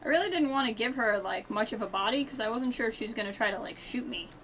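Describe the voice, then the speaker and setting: Bright-voiced woman streaming a game. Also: a little high, lively intonation, fast talker, a little hiss or hum. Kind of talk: casual live gameplay commentary.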